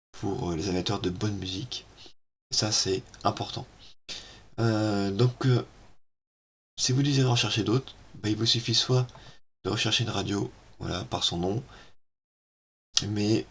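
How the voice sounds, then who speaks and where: A man walking through a software tutorial at his computer, hesitant and full of filler sounds, on an amateur setup. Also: fairly low pitch, vocal fry, husky. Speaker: tech YouTuber. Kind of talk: casual screen-recorded software tutorial.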